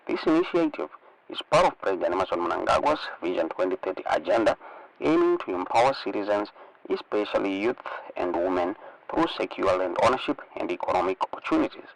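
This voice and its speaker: A man's voice: sits low, lively intonation, brisk, vocal fry, recorded from a distance, rough recording. A Zimbabwean man whose native language is Shona, presenting online news commentary and reading reports aloud.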